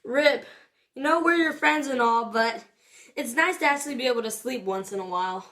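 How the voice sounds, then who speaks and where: A woman playing an excitable, emotional young friend in an audio drama, speaking expressively. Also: high-pitched, normal speed. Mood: sleepy, teasing.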